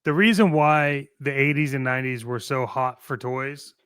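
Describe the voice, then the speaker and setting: A man's voice: mid-range voice, steady tone, normal speed, a bit bright, smooth, no echo. A man co-hosting a hobby talk show, moving between opinionated chat and brash, playful, slangy ad reads. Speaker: co-host of a toy-collecting show.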